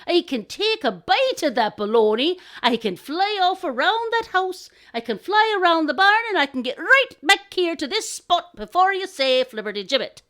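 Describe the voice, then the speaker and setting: Woman voicing a cocksure, high-pitched storybook crow. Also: sing-song, moderate pace, hardly any pauses, fairly bright tone, heavily acted. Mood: boastful. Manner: cocksure, folksy exclamations. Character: boastful crow bragging he is finest.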